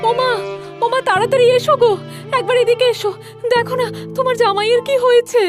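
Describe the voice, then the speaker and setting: A woman voicing an exasperated, scolding young wife in a comic cartoon, with a shrill, dramatic, complaining delivery. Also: fairly even intonation, fairly quick. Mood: alarmed. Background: music.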